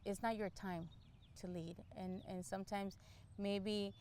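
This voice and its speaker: A middle-aged woman from El Salvador giving casual, candid interview answers, thinking aloud in halting, restarted phrases. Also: medium pitch, little pitch movement, a little mellow, slight background noise. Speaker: interview guest, law enforcement candidate for office.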